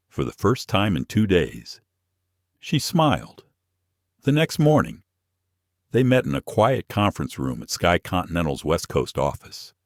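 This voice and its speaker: A man's voice: low-pitched, dry recording, clean studio sound. A man reading a scripted dramatic story as its narrator.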